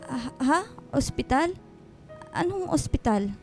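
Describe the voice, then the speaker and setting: Gentle old Filipino housekeeper, mellow tone, motherly coaxing, radio drama, faint music behind. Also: sits high, expressive, slow pace, heavily acted. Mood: flustered. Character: gentle elderly housekeeper, motherly, pleading, flustered peacemaker.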